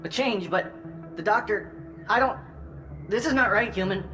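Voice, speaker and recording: An actor playing a nervous, ingratiating alien merchant in a video game, speaking halting broken English. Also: male voice, sits high, pushed and bright, faint music behind. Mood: flustered. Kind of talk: video game character acting.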